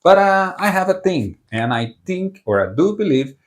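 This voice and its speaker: Brazilian man teaching, lively pitch. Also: moderate pace. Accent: Brazilian Portuguese. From southern Brazil. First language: Portuguese. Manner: rambling, self-correcting non-native English. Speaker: language teacher. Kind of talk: casual online language lesson.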